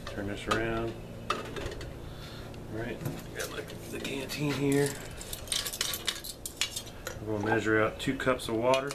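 A man's voice: mid-range voice, slow, long pauses, heavy background noise. A middle-aged man chatting casually, with frequent fillers, as he demonstrates a camping mess kit at a table and then in his backyard. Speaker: gear reviewer. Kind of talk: casual show-and-tell demonstration.

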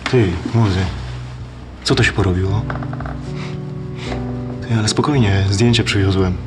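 An actor playing a rough, streetwise relative who talks in blunt slang, quietly reassuring a young man. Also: a little slow, music in the background, close to an everyday voice. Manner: blunt, low-key, slangy.

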